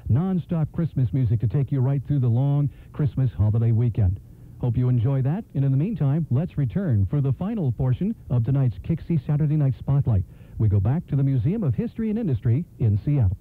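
Male radio announcer, mid-pitched, scripted continuity, muffled AM sound. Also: animated, a little fast. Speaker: radio station host. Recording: muffled narrow-band AM radio.

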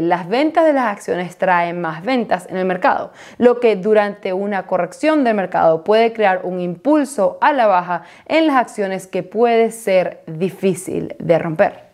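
A woman's voice: medium pitch, darkish tone. A woman in her thirties presenting a scripted explainer to camera as host of an online video channel. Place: small indoor home studio with desk.

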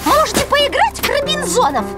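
A woman's voice: extremely high pitch, sing-song, a little fast, over loud music. An actress voicing a capricious young female piglet with a whiny, pleading manner. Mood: cheerful.

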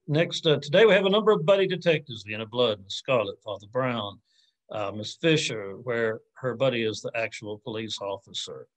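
A man in his forties speaking as an academic answering audience questions informally, thoughtful and halting, often hedging and rephrasing on a video call. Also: medium pitch, rough recording. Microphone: computer mic on a video call.

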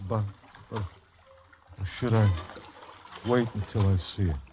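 An actor playing a delirious, naive American sailor who rambles and stammers in an old radio drama. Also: male voice, lowish voice, takes it slowly. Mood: trusting, rambling. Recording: muffled, noisy old radio recording.

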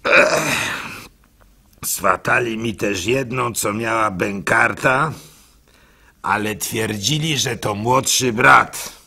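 A male actor playing a gullible, simple-hearted village fool telling his story in a theatrical, halting radio monologue, with a husky, gravelly voice. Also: on the low side, pushed and bright, exaggerated voice. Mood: exasperated. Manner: theatrical, halting, with stammered repeats, sighs and chuckles. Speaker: actor narrating a radio play. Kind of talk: dramatic first-person monologue in a radio play.

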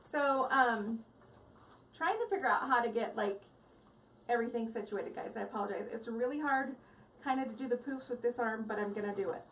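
A woman's voice: fairly high pitch, a little fast, fairly bright tone, distant mic, slight background noise, poor audio. Giggly woman chatting through a live craft demo. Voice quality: giggly, rambling, talks to viewers. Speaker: craft live-stream host. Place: home craft table, indoors. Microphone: device mic set back from the table.

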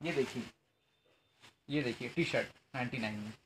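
A man making a casual sales pitch as a shop owner, often repeating words, in a small clothing shop. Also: moderate pace. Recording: faint background noise, shop ambience.

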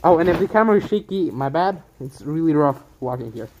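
A man's voice: a little high, animated, fast talker, darkish tone, highs cut off. A young man filming as he walks through a large abandoned factory, chatting and explaining what he sees. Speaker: vlogger filming. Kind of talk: casual chat. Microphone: handheld camera while walking.

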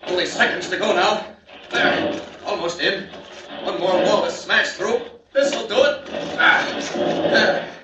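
A man's voice: high-pitched, slow pace, lo-fi sound. An actor playing a bold, urgent male superhero in a 1940s radio serial. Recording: echoey, distant, noisy 1940s radio recording.

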